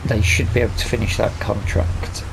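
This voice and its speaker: A man's voice: on the low side, little pitch movement, a little fast. A man hosting a gaming video with casual let's-play commentary, rambling and thinking aloud as he plays. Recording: clear background game vehicle noise.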